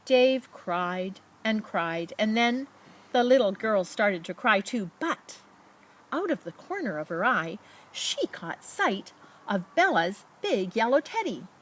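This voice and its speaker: A woman's voice: medium pitch, low-quality recording. Woman reading a children's storybook expressively. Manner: expressive, dramatic emphasis and pauses. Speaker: storybook reader. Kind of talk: children's picture book read-aloud with casual asides.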